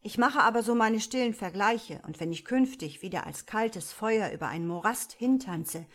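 A breathless male will-o'-the-wisp spirit speaks politely and eagerly, as a woman narrator puts him on. His voice sits a little higher and louder than plain narration, at a mid pitch. It is a storybook voice. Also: expressive, moderate pace. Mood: musing. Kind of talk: audiobook character voice.

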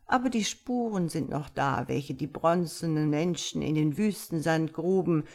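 A woman voicing a wise, patient tomcat in a storybook, speaking in a measured, gently instructive and slightly pompous way. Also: deep voice. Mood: solemn, mysterious. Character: wise, patient male tomcat, calm mysterious mentor. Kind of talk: storybook character voice.

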